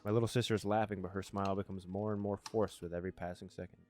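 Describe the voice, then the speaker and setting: Low-voiced man playing and reading a game. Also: flat delivery, fast, a little mellow. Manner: stumbles over words while reading, self-correcting. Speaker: gamer reading a game aloud with commentary. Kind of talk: casual let's play, reading narration aloud and reacting.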